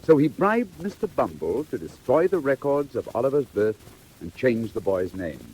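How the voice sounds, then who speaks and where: A man narrating a classic story in a theatrical, measured 1940s storybook style. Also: mid-range voice, big pitch swings, darkish tone, slightly rough, rough recording.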